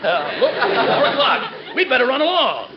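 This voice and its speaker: A man playing an oblivious, cheerfully overbearing neighbor who rambles excitably in old-time radio comedy style. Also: very high voice, normal speed, a loud crowd, full character voice. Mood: breezy. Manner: rambling, excitable, seeking confirmation. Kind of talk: 1940s-50s radio comedy acting. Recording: noisy, muffled vintage radio recording.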